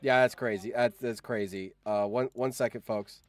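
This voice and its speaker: A man's voice: mid-range voice, steady tone, moderate pace. A man hosting a livestream, chatting casually with viewers and thinking aloud, often repeating his phrases. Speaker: livestream host.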